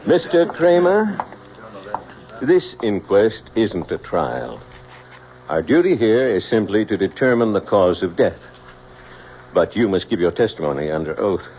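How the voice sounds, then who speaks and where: Formal low-voiced coroner. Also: male voice, big pitch swings, darkish tone. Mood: formal, official. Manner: formal, official, deliberate. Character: stern, formal male coroner presiding over an inquest. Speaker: actor in a radio drama. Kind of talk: old-time radio drama acting. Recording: muffled old radio recording, faint noise.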